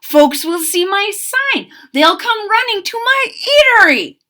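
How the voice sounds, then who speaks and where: A woman putting on a plump excitable cook. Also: very high-pitched, measured pace, voice pushed up, full character voice. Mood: pleased. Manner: exclaiming, loud and overjoyed. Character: plump excitable man who loves cooking.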